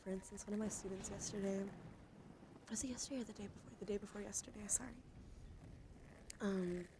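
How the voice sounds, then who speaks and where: A woman hosts an ASMR livestream in a gentle, soothing murmur that often drops toward a whisper. Her voice is soft and noticeably creaky, with a coaxing, personal-attention delivery. Clear background noise sits behind her on the live recording. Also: mid-range voice. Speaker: live streamer host. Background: background noise, dog outside.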